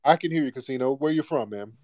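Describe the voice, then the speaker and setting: A man's voice: medium pitch, fast talker, darkish tone, dry recording, studio quality. A man hosting a live audio room, talking bluntly and mocking callers over a phone mic.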